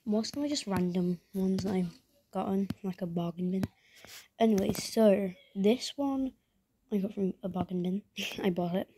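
A woman's voice: mid-range voice, dark tone, a little hiss or hum, poor audio. A young woman, chatting casually in her bedroom at night while showing plush toys to a handheld camera, rambling with many fillers and dropping into whispers. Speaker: vlogger.

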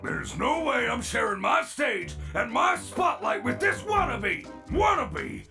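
An actor voicing a vain, hot-tempered animatronic alligator performer in an animated comedy, gravelly and given to boastful, theatrical rants. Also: medium pitch, slow, music in the background, heavily acted. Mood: boastful anger.

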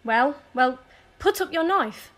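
A grieving noblewoman, bitter and vengeful, speaks scornful old verse in a slightly high female voice, played by a young actress. It is the delivery of a classical audio drama, full of curses and contempt. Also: lively intonation, takes it slowly, fairly bright tone, full character voice. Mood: wary, cold. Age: young adult. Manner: scornful, cursing. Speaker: student actor.

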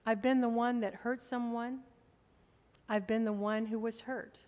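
Middle-aged woman pastor, slow deliberate sermon, fairly high voice, long church reverb. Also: steady tone, a little mellow. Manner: slow, emphatic preaching with rising appeals to the congregation. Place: church sanctuary, at the pulpit.

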